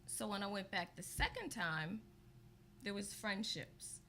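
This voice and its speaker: A woman's voice: mid-range voice, expressive, measured pace, sharp tone, slight background noise. A woman from Baltimore telling her story as an interview guest, with light laughs. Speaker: guest, charity founder. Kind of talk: interview answers.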